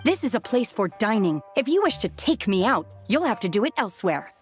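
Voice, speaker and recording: A woman's voice: fairly high pitch, very animated, fairly quick, soft background music. A woman playing a composed, stern adult woman in a video game, formal and controlled. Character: composed, stern woman scolding an unruly younger one. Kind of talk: video game cutscene acting.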